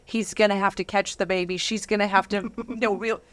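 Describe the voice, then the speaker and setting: A woman's voice: medium pitch, steady tone, brisk, a bit bright. A woman in her thirties hosting a casual interview, chatty and given to acting out remembered dialogue. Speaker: talk show host.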